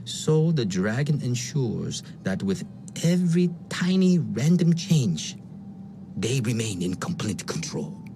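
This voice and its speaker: A stammering cryptic schemer in a game cutscene, slow and swooping, music under it. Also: male voice, mid-range voice, big pitch swings, noisy background. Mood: intense. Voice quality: halting, stammering, then whispery and intense. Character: cryptic, rambling male conspirator explaining chaos theory. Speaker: game character briefing the player.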